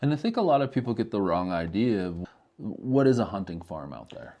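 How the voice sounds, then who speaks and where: A man's voice: on the low side, sing-song, brisk, dark tone. A man with an American accent hosting a casual podcast interview, with informal, loosely phrased talk.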